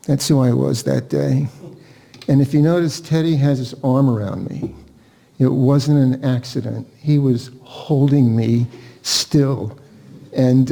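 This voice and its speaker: An older man giving an informal, personal eulogy, reflective and halting, with pauses. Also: mid-range voice, creaky, dark tone. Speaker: family member giving a eulogy. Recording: spoken at a funeral service.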